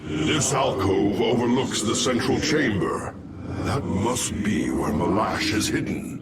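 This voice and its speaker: An actor voicing an ancient, solemn male alien warrior-mystic in a video game, with a deep, gravelly, measured delivery. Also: expressive, heavy background noise. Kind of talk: video game cutscene dialogue. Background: game score.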